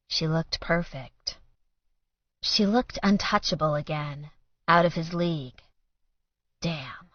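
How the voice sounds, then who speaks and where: A woman's voice: lowish voice, no echo, dull audio. A woman reading scripted fiction as an audiobook narrator.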